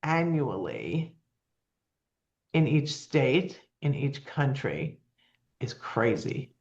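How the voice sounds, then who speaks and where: A woman's voice: extremely low pitch, sing-song, drawn-out words, darkish tone, dull audio. A woman in her seventies, a nonprofit founder talking as a guest in an earnest, plainspoken way with frequent ums. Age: 70s. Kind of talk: casual interview talk.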